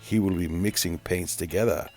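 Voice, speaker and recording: Man putting on a deep, grandiose announcer. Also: steady tone, darkish tone, faint music behind. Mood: theatrical musing. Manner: grandiose, drawn-out, musing aloud, mock-dramatic. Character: Chaz Leroy, flamboyant, theatrical male announcer, grandly teasing. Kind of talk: mock play-by-play announcing.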